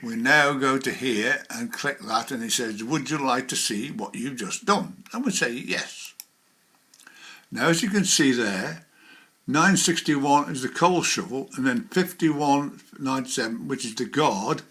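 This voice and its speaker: A man's voice: mid-range voice, animated, measured pace, pushed and bright. A man presenting an informal how-to demonstration, talking through each step as he does it. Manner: hands-on, talking through each click as he goes.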